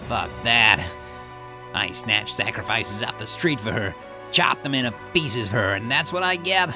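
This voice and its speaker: A man's voice: medium pitch, unhurried, over music, lightly acted. A man reading game dialogue aloud, voicing a bitter, obsessive grieving man who snarls defensively and builds to outbursts.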